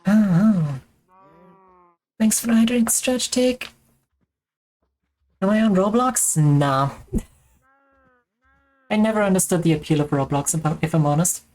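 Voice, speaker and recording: A woman's voice: medium pitch, slow, pauses often, a little mellow. A woman from Germany with a German accent, chatting casually and rambling with self-mocking humor while she streams a game. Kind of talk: casual gaming chat.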